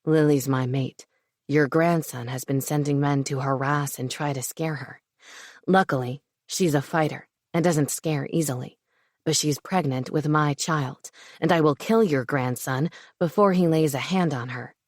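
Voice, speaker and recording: Woman lowering her voice as a calm dragon shifter. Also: unhurried, dry recording, studio quality. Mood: coldly firm. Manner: low, quiet, steady menace. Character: protective dragon shifter man, big, cold and calm.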